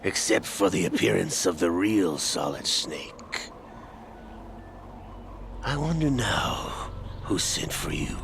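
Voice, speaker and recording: Slow, gravelly, smug game villain. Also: male voice, deep voice, big pitch swings, noisy background, full character voice. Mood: smug, taunting. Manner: slow, taunting, theatrical delivery. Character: smug, cruel older male villain, mocking schemer. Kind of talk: video game cutscene acting. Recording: dramatic music under the voice.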